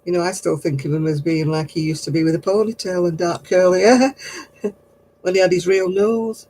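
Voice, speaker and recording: An older woman chatting casually on her craft livestream, answering viewers in a low, husky voice and a rambling, gossipy way. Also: fairly even intonation, moderate pace, warm tone, rough recording. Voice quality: low, husky voice after throat surgery.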